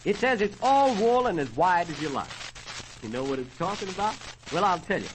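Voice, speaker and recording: A man's voice: high-pitched, expressive, fairly quick. A man with an American accent announcing an old-time radio show in folksy, theatrical cowboy slang. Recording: noisy old radio recording.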